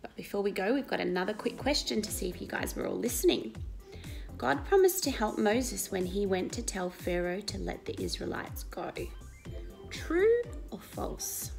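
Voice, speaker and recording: A woman in her thirties reads a Bible story to children, explaining it and asking questions in an expressive, teacherly way. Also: mid-range voice, moderate pace, gravelly, faint music behind. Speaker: Sunday school story reader. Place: indoor living room at home.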